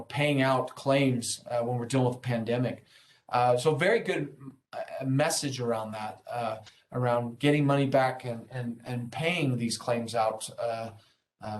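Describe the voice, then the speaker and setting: A man presenting an interactive business webinar, explaining things informally and encouragingly and checking in with his listeners as he goes. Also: mid-range voice. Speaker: webinar presenter, senior consultant and trainer. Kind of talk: interactive business lesson, explaining earnings call.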